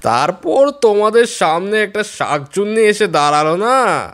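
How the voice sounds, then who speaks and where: Sneering tea-stall man played by an actor, highish. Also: animated, a little slow, fairly bright tone. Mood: mocking. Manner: mocking. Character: sneering, sceptical village tea-stall keeper. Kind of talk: cartoon dialogue.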